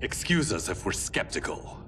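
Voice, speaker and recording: An actor playing an earnest, protective martial-arts hero in a video game, speaking with intensity. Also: male voice, low-pitched, very animated, moderate pace. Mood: firm, reassuring. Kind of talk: cinematic video game acting. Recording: loud dramatic music underneath.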